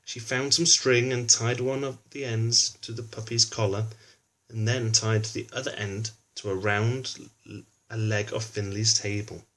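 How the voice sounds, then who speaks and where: A man's voice: fairly low pitch, fairly even intonation, measured pace, a bit bright, low-quality recording. A man reads a children's storybook aloud expressively, adding informal explanatory asides for a young listener. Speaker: children's book reader.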